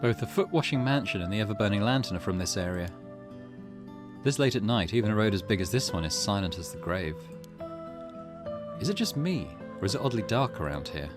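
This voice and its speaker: A man hosting a let's play, chatting casually, thinking aloud and reading game text out as he goes. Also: fairly low pitch. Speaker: gaming channel host. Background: game music.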